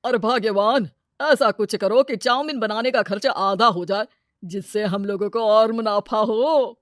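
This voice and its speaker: A woman's voice: mid-range voice, unhurried, no echo, studio quality, close to an everyday voice. A woman voicing a greedy, scheming village moneylender man in a moral story, brash and full of exclamations. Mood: gloating, scheming. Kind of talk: animated moral-story character dialogue.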